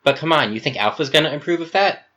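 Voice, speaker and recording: A man's voice: fairly high pitch, animated, fast, pushed and bright, pitched above the performer's own voice. Man reading as an agitated, indignant crew member. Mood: angry, anxious. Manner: challenging, agitated. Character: indignant, anxious artificial human crew member.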